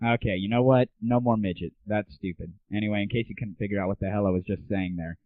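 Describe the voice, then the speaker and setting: A man's voice: lowish voice, steady tone, fast, no room sound. Sarcastic man on a tinny recording. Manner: sarcastic, irreverent, crude. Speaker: comedy audio show host. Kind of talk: casual solo rant. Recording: dry, muffled narrow-band audio.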